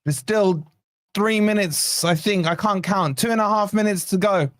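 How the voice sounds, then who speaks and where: A man hosting a fan channel's live watch-along, hoarse from shouting, giving excited, partisan play-by-play with swearing. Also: high-pitched, normal speed, dry recording, clean studio sound. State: hoarse, losing his voice. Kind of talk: excited fan match commentary.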